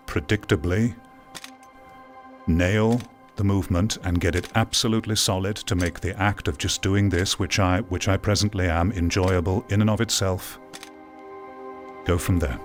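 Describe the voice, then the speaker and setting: Low-voiced man, emphatic commentary. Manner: emphatic, deliberate, repeats phrases for weight. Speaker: VR gaming video creator. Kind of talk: opinion commentary over gameplay. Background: music.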